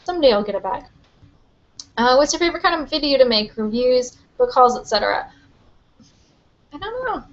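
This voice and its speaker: A young woman hosting a live book Q&A from her webcam, chatty and rambling with lots of "like" and "um", her voice a little hoarse. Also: sits high, very animated, brisk, pauses often, muffled recording. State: slightly hoarse, losing her voice. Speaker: book vlogger. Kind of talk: live-stream Q&A.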